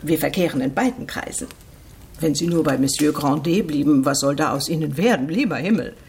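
A haughty society wife in a radio drama, with a very low voice for a woman. She gossips with emphatic disdain. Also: a little fast, a little mellow, slight background noise. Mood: scornful. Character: haughty, gossiping, scornful provincial married lady. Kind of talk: German radio drama acting.

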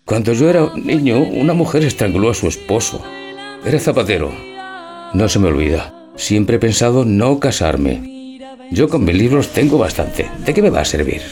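An actor playing a deep-voiced, timid old bachelor. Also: male voice, very animated, moderate pace, darkish tone, soft background music. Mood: bewildered, doubtful. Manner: hesitant, questioning. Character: timid, bewildered, well-off old bachelor, hesitant and bookish. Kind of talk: theatrical audio drama.

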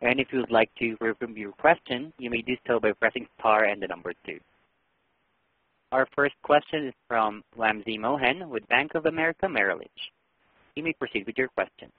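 A man reads formal, scripted operator announcements at an even pace. His voice is husky, and it comes through a dry, tinny phone line. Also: mid-range voice, a little fast, no echo. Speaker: conference call operator.

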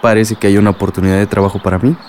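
An actor playing an easygoing young lawyer and reassuring boyfriend in a radio drama, speaking casually and soothingly. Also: male voice, lowish voice, flat delivery, racing, noisy background, lightly acted.

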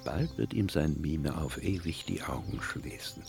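An actor playing the secret inner voice of a murderous dwarf in a fantasy radio play, sinister, gloating and contemptuous. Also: male voice, extremely low pitch, sing-song, a little slow, creaky, a little mellow, soft background music, full character voice. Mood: sly gloating.

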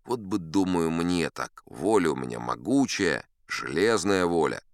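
A man's voice: deep voice, animated, unhurried, clear, resonant tone, dry recording, studio quality. An actor playing a boastful old sea captain, telling his tall tales in a folksy, self-assured, conversational way. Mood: wry, unhurried. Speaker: audiobook performer narrating in character. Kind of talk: dramatic first-person storytelling.

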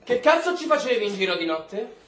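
An actor playing an aggressive, foul-mouthed military interrogator who bullies with hammered questions, in a stage drama. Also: male voice, sits high, big pitch swings, brisk, fairly bright tone. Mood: furious. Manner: bullying, hammering repeated questions. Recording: roomy, distant, noisy stage recording.

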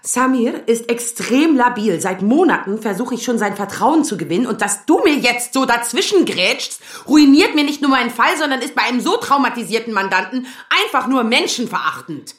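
A woman's voice: a little high, animated, moderate pace, few pauses, roomy sound, close to an everyday voice. An actress playing an overworked lawyer daughter in her thirties, controlled but exasperated, in an audio drama. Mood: strained calm. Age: 30s. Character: overworked 35-year-old lawyer daughter, strained but restrained. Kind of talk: acted German audio drama dialogue.

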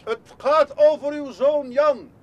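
An actor playing a grave, blunt but decent police detective in a radio drama, measured and polite in manner. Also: male voice, very high-pitched, lo-fi sound. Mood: calling out loudly. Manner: measured, polite, hesitant before bad news. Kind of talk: Dutch radio play acting.

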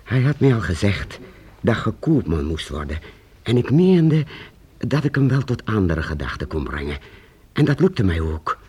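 An actor playing a weary, earnest old master painter in a Dutch radio play, speaking haltingly with repeated words and archaic formal address. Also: male voice, fairly low pitch, sing-song, dark tone, breathy edge, full character voice. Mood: confiding, rueful. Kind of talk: Dutch radio drama acting.

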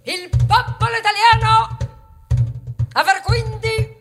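A woman playing a pompous fascist dictator, declaiming a bombastic propaganda speech in an emphatic, drawn-out way. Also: very high voice, steady tone, a bit bright, soft background music, pitched above the performer's own voice, exaggerated voice. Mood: pompous, proclaiming. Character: pompous fascist dictator orating in a 1930s newsreel.

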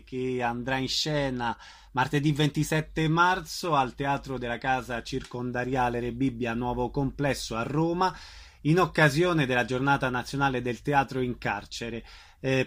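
A man's voice: mid-range voice, fairly bright tone. A man conducting a conversational radio interview as the interviewer.

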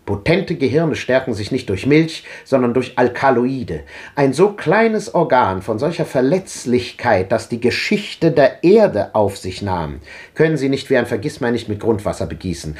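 Casting: a fiery old thinker in a 1950s radio play, declamatory, with a pitch that swings widely. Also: male voice, sing-song, a little slow, a little mellow. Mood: declaiming. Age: older. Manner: declamatory, impassioned, rhetorical. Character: old man, fervent visionary philosopher. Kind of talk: 1950s radio drama acting.

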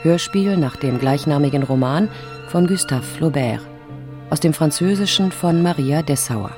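An announcer reading radio-play credits in a deliberate, formal, scripted manner. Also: medium pitch, darkish tone. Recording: music clearly under the voice.

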